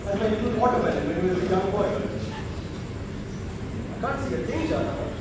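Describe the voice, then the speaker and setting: Echoing heavily from far off is a man acting a frail, wistful father in a theatre play. The voice is very high for a man, tender and nostalgic. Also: animated, quick pace. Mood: hopeful, wistful. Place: indoor theatre stage, picked up from afar. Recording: distant, very echoey hall, faint noise.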